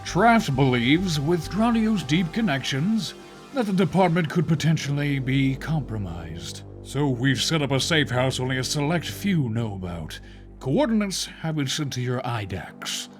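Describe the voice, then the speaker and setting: Grave, authoritative police chief. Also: male voice, medium pitch, sing-song, faint music behind. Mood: serious, businesslike. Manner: grave, deliberate briefing delivery. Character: authoritative male police chief, serious and measured. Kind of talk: audio drama acting.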